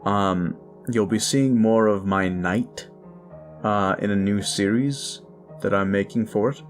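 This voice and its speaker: A man's voice: fairly low pitch, slow pace, soft background music. A man who makes audio roleplays, talking in a casual, upbeat and thankful way in an outro to his listeners.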